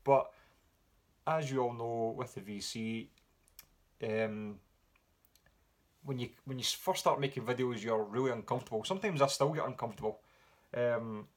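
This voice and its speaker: A man with a Scottish accent answering viewer questions in a relaxed, rambling way with frequent pauses and fillers. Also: mid-range voice. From Scotland. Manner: relaxed, rambling with many ums. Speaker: music vlogger. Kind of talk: casual Q&A vlog.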